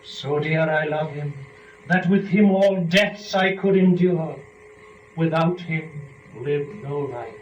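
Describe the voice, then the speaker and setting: Young fallen woman, rapturous and scheming; epic verse drama, very slow delivery, distant noisy recording. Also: on the high side. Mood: fearful, resolved. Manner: measured, theatrical, deliberate phrasing. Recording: noisy, dull, echoey room from a distance.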